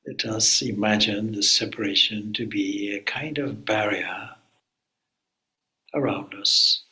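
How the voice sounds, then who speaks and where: Slow, calm man guiding meditation. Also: fairly low pitch, rough recording. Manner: calm, unhurried, with long pauses. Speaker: swami leading meditation.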